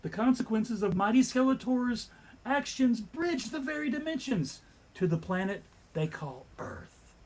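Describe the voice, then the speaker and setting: A male narrator with a high, animated voice and a rough, husky edge. He reads a comic book theatrically, with melodrama and a tongue-in-cheek wink. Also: a little slow, poor audio.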